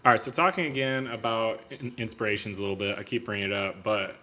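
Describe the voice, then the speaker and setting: A man's voice: on the low side, fairly even intonation, fast talker, bright, forceful tone. A man in his thirties hosting a podcast, chatting casually and earnestly, sharing firm opinions in long runs of talk. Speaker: podcast host. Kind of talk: casual conversation.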